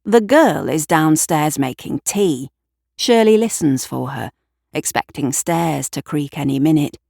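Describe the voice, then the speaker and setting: A woman with a fairly low voice narrates a scripted audio drama. She takes her time, telling the story in a measured, deliberate way, with a very dry, close sound. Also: animated, gravelly, darkish tone, no echo. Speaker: writer and narrator.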